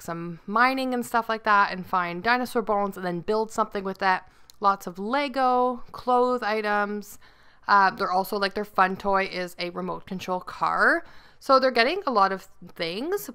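Chatty mom vlogger at home. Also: female voice, medium pitch, bright, forceful tone, smooth. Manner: chatty, upbeat, many fillers. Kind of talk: casual talk to camera. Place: home dining room and kitchen.

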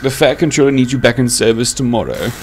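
A man voicing a brisk, authoritative inspector giving orders in a children's story. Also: mid-range voice, slight background noise, pitched above the performer's own voice, natural voice. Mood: firm.

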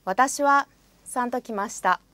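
A woman's voice: a little high, bright, forceful tone, no echo. A woman in a studio speaking example phrases for a language course, saying each one carefully and then repeating it. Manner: careful, deliberate pronunciation.

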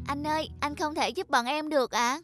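A woman voicing a small, earnest child in an animated moral story, polite and pleading. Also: high voice, a little fast, lightly acted. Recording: music behind the dialogue.

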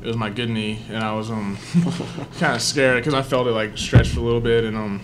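A young man, a college football player recounting a play at a postgame press table, relaxed and full of "um.". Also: medium pitch, fairly quick, noisy background. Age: young adult. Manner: easygoing, filler-heavy. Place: press room before a sponsor backdrop. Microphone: table mic in front.

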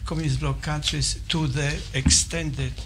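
An older man from Poland with a Polish accent, giving a formal presentation and often pausing with fillers and restarts. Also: on the high side, fairly even intonation, fairly bright tone, soft background music. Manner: halting, with many fillers and restarts. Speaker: visiting scholar presenting. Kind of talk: formal presentation to a small audience. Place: office meeting room.

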